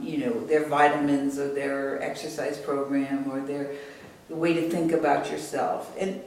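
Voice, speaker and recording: An American woman in her late sixties speaking as an author, measured, candid and thoughtful. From United States. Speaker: author and artist being interviewed. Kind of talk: reflective interview talk and reading aloud from own book. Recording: roomy echo, distant mic, rumbly noise.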